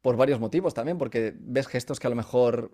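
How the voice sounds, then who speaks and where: A man with a Castilian Spanish accent and an average-pitched voice, speaking as a voice coach in a podcast interview. He explains casually, using everyday examples and stopping to check he is understood. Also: brisk, warm tone. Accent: Spanish from Spain.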